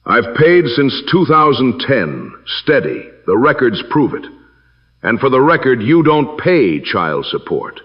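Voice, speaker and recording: A man voicing a hurt, steadfast estranged father in an audio story, earnest, measured and emphatic. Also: medium pitch, very animated, slightly rough, dull audio, voice pushed up, full character voice. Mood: defensive, insistent. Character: wounded, steadfast estranged father defending himself.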